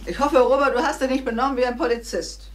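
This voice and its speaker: Crime radio play: actress as kindly older mother who speaks her mind, clearly noisy old recording. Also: high voice, steady tone, fast talker, close to an everyday voice. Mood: indignant. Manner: forthright, motherly. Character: kindly, outspoken mother scolding policemen. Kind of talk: German crime radio drama acting.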